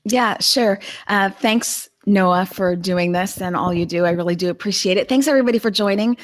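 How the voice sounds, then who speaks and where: A woman's voice: medium pitch, normal speed. A woman, a guest in an interview, telling her story with enthusiasm. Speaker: guest, journalist and business consultant. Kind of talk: conversational interview answers.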